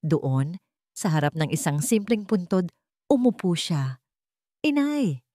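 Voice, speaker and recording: A Filipino woman reading a scripted romance story in a measured, emotive storytelling manner. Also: deep voice, expressive, dark tone, dry recording, clean studio sound. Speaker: story narrator.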